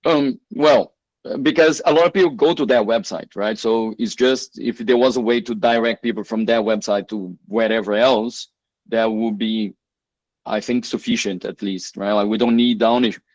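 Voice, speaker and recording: A man's voice: lowish voice, steady tone, normal speed, darkish tone, dry recording, poor audio. Guy on a community call, lots of uh and like, dry close sound. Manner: hesitant, with many fillers and "like". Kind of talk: casual video call chat.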